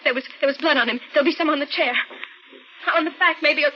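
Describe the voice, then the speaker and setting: Woman playing a high-voiced, frightened young woman. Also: slight background noise, lightly acted. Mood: insistent, distraught. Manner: breathless, halting, stammering. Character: frightened, shaky young woman insisting she saw a corpse. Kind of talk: 1940s radio drama acting. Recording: muffled old radio broadcast with music.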